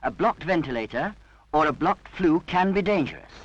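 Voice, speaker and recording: A man reads an old safety announcement. His voice is fairly high and lively, with a muffled sound and faint noise. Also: moderate pace. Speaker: narrator. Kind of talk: 1970s public safety announcement.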